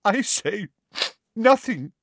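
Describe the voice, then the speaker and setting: A man's voice: very high voice, moderate pace, a bit bright, dry recording, voice pushed up. A man voicing a melodramatic, indignant woman divination teacher in a wavering, emotional voice for a storybook reading. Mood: wounded, indignant. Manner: dramatic, wavering, throbbing with emotion.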